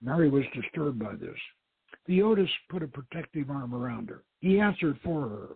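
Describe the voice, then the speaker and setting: Guy doing a dramatic audiobook style reading, husky, sounds like hes on the phone. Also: medium pitch, very animated, moderate pace. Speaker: host and narrator. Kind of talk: dramatic reading of an adventure novel. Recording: phone-like thin line, echoey.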